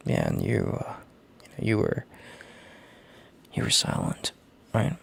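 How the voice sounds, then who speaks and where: A man playing a gentle, worried boyfriend in an intimate audio roleplay, speaking in a halting, tender, hushed way with a gravelly, husky, breathy voice. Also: fairly low pitch, wildly swooping pitch, heavily acted. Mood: anguished, pleading. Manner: halting, tender, hushed, sighing between phrases. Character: gentle worried boyfriend, relieved and heartfelt, on a call.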